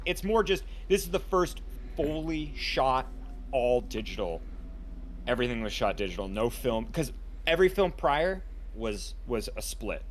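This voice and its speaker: A man's voice: medium pitch, fairly bright tone, slight background noise. A man co-hosting a podcast in casual chat, playful and teasing toward his friend. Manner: playful, teasing, calls friend dude and homie. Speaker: podcast co-host.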